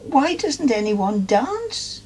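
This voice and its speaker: A man voicing an excitable, tearful young female piggy bank in a children's storybook. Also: extremely high pitch, slow pace, slightly rough, voice pushed up, heavily acted. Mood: curious. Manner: gasping, squealing, easily dismayed.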